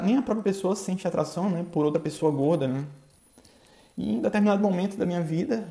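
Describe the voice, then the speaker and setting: A man from Bahia with a Bahian accent, hosting a podcast in a halting, casual monologue full of filler tags. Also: on the high side, creaky, mellow. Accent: Bahian Brazilian Portuguese. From Bahia, Brazil. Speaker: podcast host. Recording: echoey room.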